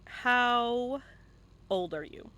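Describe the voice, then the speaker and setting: A man's voice: extremely high pitch, drawn-out words, sharp tone. A man hosting a casual live interview, teasing and jokey, often repeating phrases for fun. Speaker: interviewer, stream host.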